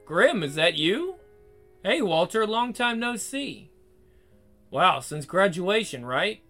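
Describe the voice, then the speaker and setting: A man's voice: high voice, very animated, takes it slowly, bright, forceful tone, soft background music, heavily acted. A man reading an easygoing young guy. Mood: easy. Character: young adult man returning to old hangout, easygoing.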